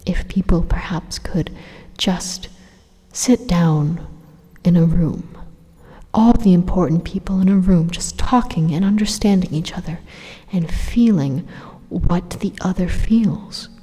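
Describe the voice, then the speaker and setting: A woman voicing a calm, menacing supercomputer turned evil in an ASMR roleplay monologue, deliberate and condescending, with a breathy, whispery voice. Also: lowish voice. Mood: calm persuasion. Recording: long reverberant echo.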